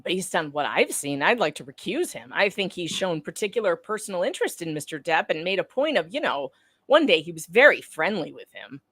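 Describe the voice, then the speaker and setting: A woman's voice: on the low side, big pitch swings, fairly quick, a bit bright, slightly rough, no echo. A woman doing a dramatic reading of courtroom dialogue in a conversational, theatrical way. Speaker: panelist reading court transcript parts.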